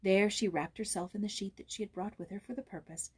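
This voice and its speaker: A woman narrating a storybook in a theatrical, expressive way, with a husky voice. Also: mid-range voice, fast. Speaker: audiobook reader, voice actor. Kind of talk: dramatic scripted storybook narration.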